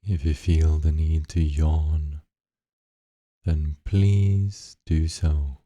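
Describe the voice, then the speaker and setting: Very deep, slow male meditation guide. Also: little pitch movement, no echo. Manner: gentle, unhurried instructions with long pauses.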